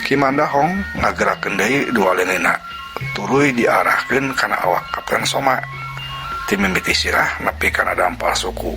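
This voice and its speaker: A man's voice: fairly low pitch, animated, normal speed. A man with a Sundanese accent narrating a folk tale vividly, voicing its sound effects himself. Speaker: storyteller. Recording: narration over loud music.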